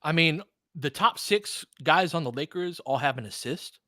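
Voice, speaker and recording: A man hosting a live basketball watch-along, talking as an excitable, swearing fan who also analyzes the play. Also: on the high side, normal speed, no echo, studio quality. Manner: profane, excitable fan talk with analytical asides. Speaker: sports podcast host and analyst.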